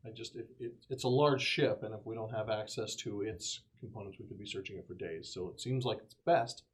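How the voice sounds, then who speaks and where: A man's voice: on the low side, brisk. A man talking through plans in character as a player in a tabletop role-playing game.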